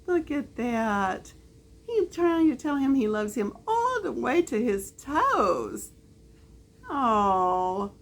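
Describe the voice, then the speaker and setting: Female storyteller for a young child's picture book, highly animated pitch, slow and drawn-out. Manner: drawn-out, expressive child-directed delivery. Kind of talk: reading a picture book aloud to a small child, with asides.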